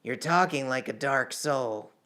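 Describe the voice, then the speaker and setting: A towering, stern military commander from a fantasy audiobook, voiced by a male narrator. He speaks gravely and formally, at a middle pitch. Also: measured pace, bright, forceful tone.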